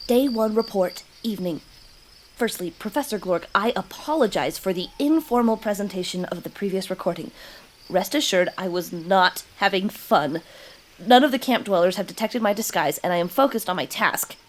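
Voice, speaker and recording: A woman's voice: medium pitch, animated, moderate pace, a little hiss or hum, close to an everyday voice. A woman voicing an earnest alien disguised as a preteen boy in a children's audio drama, with formal, stilted, literal-minded speech. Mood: solemn reporting.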